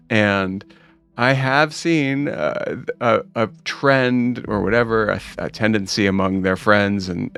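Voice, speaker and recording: Middle-aged guy hosting a podcast, kinda low gravelly voice, stumbles into his questions, bit of music under. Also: a bit bright. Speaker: podcast host. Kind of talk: conversational interviewing.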